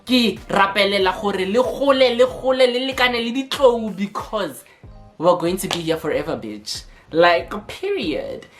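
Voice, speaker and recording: A woman's voice: medium pitch, expressive, measured pace, faint music behind. A woman with a South African accent, talking to camera in a sassy, playful vlog and slipping between languages. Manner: sassy, playful, code-switching banter. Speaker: YouTuber. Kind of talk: casual vlog talk to camera.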